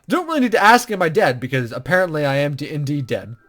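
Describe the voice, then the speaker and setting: A man with a high voice hosts a let's play of a visual novel. He reads aloud and comments casually, often tripping over the text. Faint game music plays behind him. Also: huge pitch swings, quick pace, fairly bright tone. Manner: stumbles over the text while reading.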